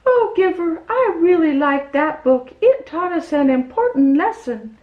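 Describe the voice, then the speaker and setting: A woman's voice: very high-pitched, expressive, measured pace. A woman playing a cheerful, kind character in scripted children's show dialogue, speaking in an earnest, simple way to young viewers. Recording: dull, echoey lo-fi room.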